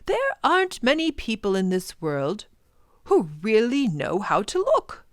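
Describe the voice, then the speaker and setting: A woman voicing an earnest, enthusiastic male professor in a children's storybook, urging listeners on with dramatic emphasis and hushed words. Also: fairly high pitch, huge pitch swings, a little slow, no echo, studio quality. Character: older male professor, passionate, earnest, wonder-filled teacher. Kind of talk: children's storybook read-aloud.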